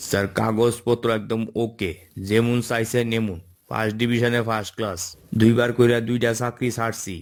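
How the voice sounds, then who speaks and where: A man's voice: medium pitch, level tone, fairly quick, natural voice. A man voicing a boastful, needy job seeker in a comic cartoon, ingratiating, with an eastern Bengali dialect. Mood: boastful, excusing.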